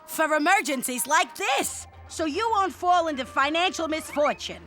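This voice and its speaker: A woman's voice: high-pitched, animated, moderate pace, a bit bright, soft background music. An actress playing a brash, bossy businesswoman boss who snaps and lectures in a comedy sketch. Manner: snappy, impatient, lecturing.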